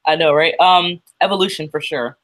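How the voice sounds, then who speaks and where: A woman co-hosting a casual livestream chat about comics. She is feeling unwell and talks haltingly, with fillers and restarts. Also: low voice, steady tone, fairly bright tone. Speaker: co-host.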